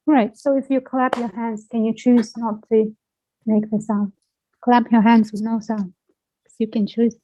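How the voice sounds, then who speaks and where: A woman guiding a self-inquiry conversation, asking gently probing and playful questions. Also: on the high side, flat delivery, unhurried, no echo, low-quality recording.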